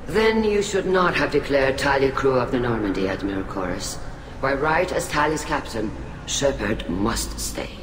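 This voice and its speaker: An actor playing a formal, measured male alien admiral chairing a hearing in a game cutscene. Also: medium pitch, lively intonation, close to an everyday voice. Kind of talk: video game cutscene dialogue. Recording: echoey game mix with background noise.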